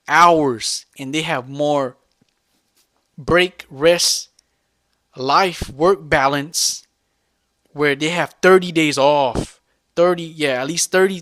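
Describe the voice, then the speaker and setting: A man's voice: fairly high pitch, a bit bright, no echo. A man ranting loosely to camera in a vlog, repeating himself and swearing for emphasis. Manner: rambling, repetitive, profane and emphatic. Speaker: vlogger. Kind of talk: unscripted rant to camera.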